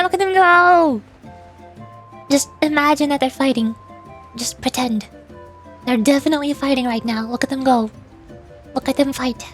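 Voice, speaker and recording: Female game streamer, high voice, chatty and playful, casual live commentary over music. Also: fairly bright tone. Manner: playful, chatty, with silly sound effects. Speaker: streamer playing a video game.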